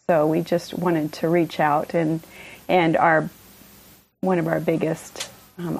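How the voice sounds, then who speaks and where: A woman speaks slowly, pausing with ums between phrases. Her lowish voice has a gravelly edge of vocal fry. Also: darkish tone, poor audio. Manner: slow, halting, with fillers. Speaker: guest. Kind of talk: personal introduction in conversation.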